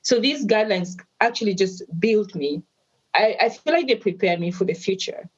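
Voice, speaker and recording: A woman, telling her life story as an interview guest in a reflective, measured way. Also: mid-range voice, animated, muffled recording. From Tanzania.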